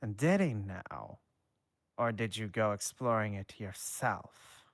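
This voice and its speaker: Guy doing a formal teacher, calm and dry, low and slow. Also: gravelly, no room sound, full character voice. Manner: calm, measured and matter-of-fact. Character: dry, formal adult male schoolteacher.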